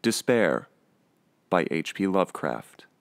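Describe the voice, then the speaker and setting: A man's voice: sits low, very animated, takes it slowly, vocal fry, smooth, no room sound. A man reading scripted titles and credits as an announcer. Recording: loud music under the voice.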